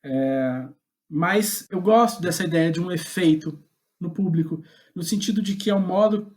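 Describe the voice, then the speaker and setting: A man's voice: high voice, moderate pace, darkish tone. A man with a Brazilian accent speaking as a guest playwright in a reflective interview, thinking aloud and often rephrasing himself. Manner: hesitant, thoughtful, often reformulating.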